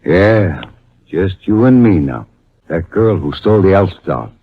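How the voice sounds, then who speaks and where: Menacing low-voiced heavy, tinny radio. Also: male voice, very animated, unhurried, slightly rough, full character voice. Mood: sly, probing. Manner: pushy, threatening tough-guy talk. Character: menacing, burly department-store Santa crook, male. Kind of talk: 1950s radio crime drama acting. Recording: thin, tinny vintage radio recording.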